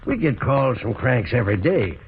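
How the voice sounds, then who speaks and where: An actor playing a folksy, placating police officer in a 1940s radio drama. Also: male voice, mid-range voice, sing-song, normal speed, close to an everyday voice. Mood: casual, dismissive. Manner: folksy, casual, humoring. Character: easygoing, placating, unconvinced male police officer. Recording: tinny, noisy old radio recording.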